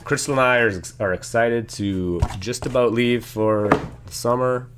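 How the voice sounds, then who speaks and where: A man with a hoarse, congested voice from a sinus infection, casually chatting through a live stream while building a set and reading the chat. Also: medium pitch, fairly even intonation, unhurried, noisy background. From Canada. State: losing his voice from a sinus infection.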